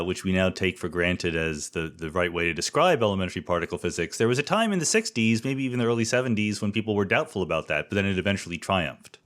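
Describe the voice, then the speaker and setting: A middle-aged man hosting a podcast interview, speaking in an articulate, measured way with lively questions. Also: on the low side. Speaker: podcast host.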